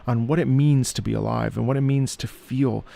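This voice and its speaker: A man's voice: medium pitch, steady tone, normal speed, gravelly, dark tone. A man giving reflective reaction commentary on music, musing and searching for his words as he goes.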